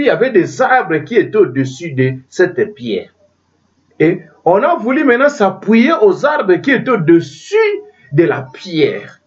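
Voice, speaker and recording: Lively, emphatic preacher man, distant lo-fi. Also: a little high. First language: not French. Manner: emphatic, repeats and restarts, asks listeners if they understand. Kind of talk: sermon. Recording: dull lo-fi sound from a distance.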